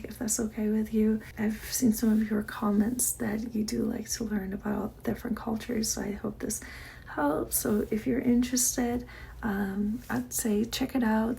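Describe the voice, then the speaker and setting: Soft-spoken woman with vocal fry. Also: a little high, a little hiss or hum, rough recording. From Iran. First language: Persian. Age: 40s. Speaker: vlogger. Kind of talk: casual diary chat to camera.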